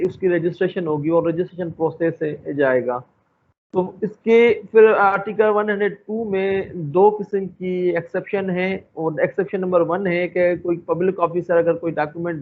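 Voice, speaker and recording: The recording is narrow and phone-like, with a little noise underneath. A man with a rather high voice lectures in an explanatory style. He proceeds haltingly, padding his sentences with filler phrases and slipping between two languages. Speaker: law lecturer. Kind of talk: explanatory lecture mixing Hindi-Urdu and English. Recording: thin phone-like audio with faint noise.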